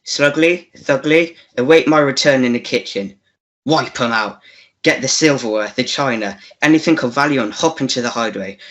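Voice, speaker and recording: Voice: medium pitch, moderate pace, a bit bright, close to an everyday voice. An actor voicing a pompous, impatient male scoundrel in an amateur melodrama radio play. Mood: scheming. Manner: pompous, scolding. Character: scheming scoundrel man, pompous, impatient, bossy villain.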